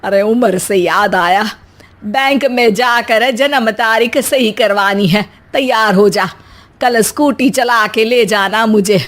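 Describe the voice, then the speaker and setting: A woman acts out an older Indian mother-in-law in a comic bedtime story. She is exasperated and sarcastic, scolding and teasing in everyday words. Her voice sits fairly high and she takes her time over each line. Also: slow pace, a bit bright. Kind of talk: acted Hindi comic story dialogue.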